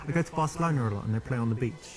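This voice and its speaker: A man's voice: medium pitch, very fast, over a noisy crowd, natural voice. An actor playing a friendly British man making easy small talk on a café date, with a London accent. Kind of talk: scripted game dialogue. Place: café, indoors. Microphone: lapel mic.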